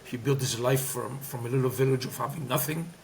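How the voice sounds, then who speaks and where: A man's voice: medium pitch, fairly quick, gravelly, a little hiss or hum. A middle-aged man with a Greek accent, talking candidly and emphatically in an interview, with many fillers and restarts. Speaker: guest, former player and coach.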